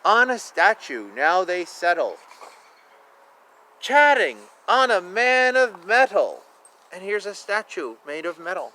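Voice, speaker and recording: A man reading children's books aloud outdoors, with a drawn-out, sing-song delivery and casual asides. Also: high-pitched, sing-song, pushed and bright, low-quality recording. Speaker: children's book reader. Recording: outdoor recording with clear ambient noise. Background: outdoor ambience.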